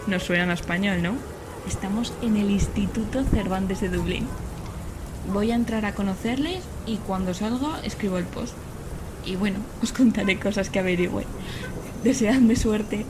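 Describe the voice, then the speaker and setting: A woman acting as a young history student from Spain in an audio drama vlog. She is eager, bubbly and chatty, with a medium-pitched voice. Clear ambient noise surrounds her. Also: normal speed, lightly acted. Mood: cheerful, chatty. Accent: Spanish from Spain. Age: young adult. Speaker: podcast character, student vlogger. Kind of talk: acted first-person vlog monologue.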